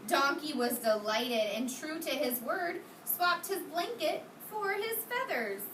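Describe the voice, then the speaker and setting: A woman with a high-pitched voice works as a kindergarten teacher, reading a story aloud to young children. She keeps stopping to question and encourage them. The sound is roomy and picked up from a distance, with clear classroom noise behind her. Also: lively intonation, takes it slowly, fairly bright tone. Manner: encouraging, questioning, praising pupils.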